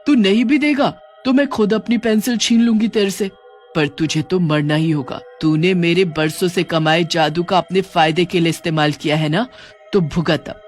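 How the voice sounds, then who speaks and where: A menacing female witch, acted out by a man for a horror storybook, speaks in a raised pitch over music. She is short-tempered and demanding, full of threats. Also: fairly even intonation, moderate pace, natural voice. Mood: vengeful. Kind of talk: dramatic Hindi horror storybook acting.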